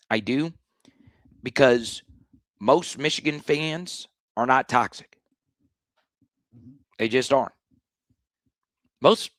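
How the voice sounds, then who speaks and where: A man with a slightly hoarse voice hosting a live sports talk stream, blunt and animated, often restarting his sentences. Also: medium pitch, no echo, clean studio sound. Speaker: sports show host.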